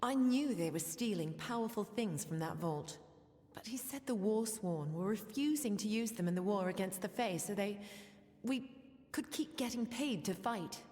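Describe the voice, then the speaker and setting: An actress playing a quiet, guilt-ridden soldier in a fantasy video game, speaking earnestly and hesitantly. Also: female voice, mid-range voice, lively intonation, normal speed, lightly acted. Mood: remorseful. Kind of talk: video game character acting. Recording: long reverb, music behind.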